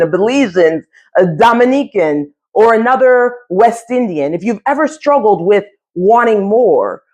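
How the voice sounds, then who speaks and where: An American woman giving a motivational coaching talk to camera, speaking emphatically and deliberately with dramatic pauses and repetition. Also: medium pitch, a little mellow. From United States. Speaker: business coach and host.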